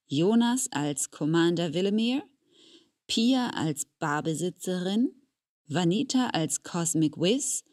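A woman's voice: on the low side, no echo, studio quality. A woman reading scripted closing credits as a podcast announcer.

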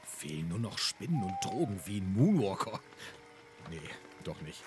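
A man's voice: low voice, sing-song, normal speed, background noise. A man who co-hosts a podcast, chatting casually as himself in an audio play. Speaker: podcast co-host. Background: faint music.

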